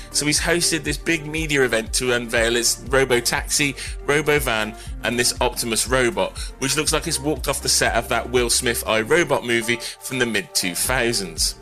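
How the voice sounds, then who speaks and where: A man delivers conversational tech news with a bright, driving voice at a medium pitch. He is wry and opinionated as he explains the stories. Light music plays underneath. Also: moderate pace. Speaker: technology news commentator. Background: background music.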